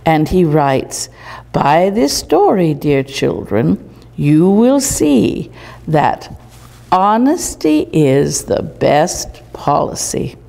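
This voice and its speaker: Older female performer as grandmotherly 18th-century storyteller: mellow, unhurried, formal and instructive, in a reverberant room. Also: deep voice, very animated, vocal fry, full character voice. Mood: genteel, instructive. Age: older, 60s or above. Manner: formal, old-fashioned, deliberate, didactic. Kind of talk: storytelling, reading a fable aloud to children. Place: small indoor room, seated.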